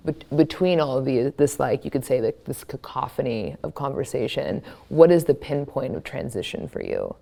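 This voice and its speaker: Very deep-voiced woman, conversational interviewer. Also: sing-song, normal speed, vocal fry, hardly any pauses, mellow. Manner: engaged, affirming. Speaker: curator and interviewer.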